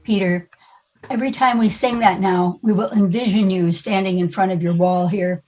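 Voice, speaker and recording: A woman's voice: mid-range voice, steady tone, normal speed, warm tone, faint music behind. A woman speaking informally as the host of a service, giving thanks and announcements. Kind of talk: informal spoken announcements to a congregation.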